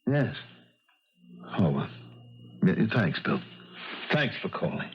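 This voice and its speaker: Old dull radio drama, tormented pianist, bitter and intense, low voice that jumps around. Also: male voice, sing-song, slow pace, husky, exaggerated voice. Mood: shocked disbelief. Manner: intense, agitated, theatrical. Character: distraught, bitter concert pianist, a grown man. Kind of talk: 1970s radio drama acting. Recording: dull vintage radio recording.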